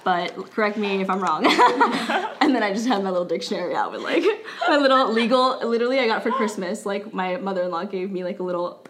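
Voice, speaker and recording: A woman's voice: medium pitch, expressive, a little fast, hardly any pauses, a bit bright, slight background noise. Chatty Brazilian woman, laughing often. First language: Portuguese. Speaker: law student podcast co-host. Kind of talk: casual chat.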